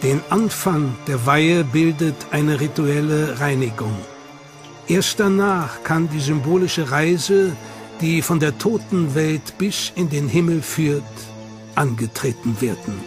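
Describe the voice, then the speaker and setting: A man with a notably high voice delivers scripted documentary narration, measured and solemn. Loud music runs under his voice throughout.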